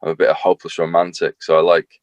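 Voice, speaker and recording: Low-voiced Northern English man, rambling. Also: completely flat delivery, fast talker, dry recording, highs cut off. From north of England. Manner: rambling, self-deprecating, chatty with many fillers. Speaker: singer-songwriter guest. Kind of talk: casual interview chat.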